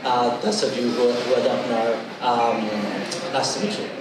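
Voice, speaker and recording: A man's voice: medium pitch, fairly even intonation. Italian-accented man speaking in an echoey hall. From Italy. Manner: measured, with frequent hesitations. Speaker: new chief executive giving a speech. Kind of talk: formal welcome speech. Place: large function room at an evening event. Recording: echoey hall from far off, noisy.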